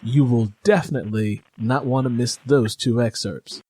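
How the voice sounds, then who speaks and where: A man's voice: medium pitch, moderate pace, a little mellow, slightly rough. A middle-aged American man hosting a podcast and interviewing an author.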